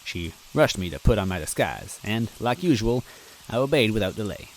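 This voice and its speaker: A man narrating a story in first person in a wry, measured way. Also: fairly low pitch, sing-song, a little hiss or hum, low-quality recording. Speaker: story reader.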